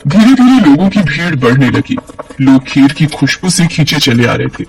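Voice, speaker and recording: A man's voice: fairly high pitch, lively intonation, moderate pace, poor audio. A man narrating a scripted cartoon folk tale as a storyteller.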